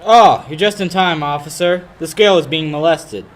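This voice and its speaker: A man's voice: on the high side, normal speed, close to an everyday voice. A young man playing a hapless, earnest young lawyer in a 1940s-style radio play, easily flustered. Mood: indignant. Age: young adult.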